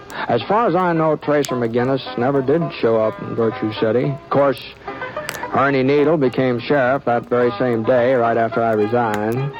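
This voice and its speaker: An actor playing an easygoing, sly drifting cowboy sheriff in a 1950s radio western, with a halting, folksy drawl. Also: male voice, medium pitch, natural voice. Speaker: lead actor and narrator. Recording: dull old radio broadcast with music.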